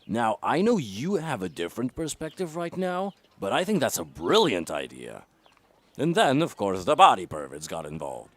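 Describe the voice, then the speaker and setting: A man's voice: medium pitch, huge pitch swings, normal speed. An actor playing a brash, foul-mouthed man in a voiced comic, sardonic, abrasive and theatrical. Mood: sardonic, enthusiastic. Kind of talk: voiced comic audio drama.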